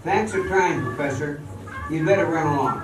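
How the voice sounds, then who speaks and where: A man's voice: a little high, normal speed, natural voice. Man playing a proud jilted dancer, echoey hall. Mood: bitter, stubborn. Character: jilted male dancer drowning his bitterness in drink, stubborn, proud. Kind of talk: amateur radio drama acting. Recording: very echoey hall, distant mic, music behind.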